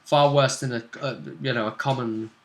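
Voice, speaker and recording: A man's voice: mid-range voice, fairly even intonation, normal speed, a bit bright, echoey room, a little hiss or hum. A man narrating and discussing a tabletop fantasy game as the game master, in an improvised, conversational way.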